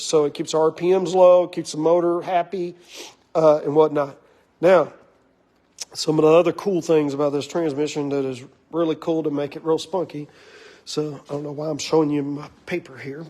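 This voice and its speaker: A hoarse man with a fairly high voice chats his way through a car build in a workshop. He rambles with plenty of fillers and gets excited about the parts. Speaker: shop owner showing a car build. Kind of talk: casual shop walkaround talk.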